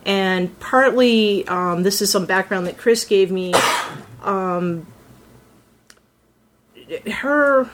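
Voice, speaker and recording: A woman's voice: mid-range voice, very slow, pauses often, a little hiss or hum. A woman voice actor in a casual round-table chat, animatedly acting out her character's thoughts as she talks.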